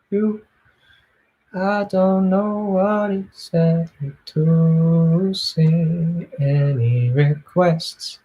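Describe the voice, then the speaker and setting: A young man talks casually in a quiet, low-key voice. He sounds like an amateur musician chatting between songs. The recording is made at home in a small bedroom, with the mic about an arm's length away. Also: poor audio. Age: young adult. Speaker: amateur singer and blogger.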